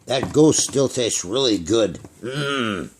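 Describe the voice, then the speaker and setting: Slow, greedy garbage can puppet played by a man. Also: mid-range voice, animated, breathy edge, pitched below the performer's own voice. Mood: greedy, savoring. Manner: slow, repetitive, savoring delivery. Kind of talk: children's puppet show.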